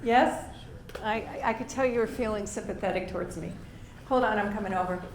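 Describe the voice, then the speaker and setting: A hoarse woman leading a writing workshop, coaxing participants to read aloud and encouraging them. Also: medium pitch, brisk. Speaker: workshop leader. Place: library meeting room. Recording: echoey room with faint noise.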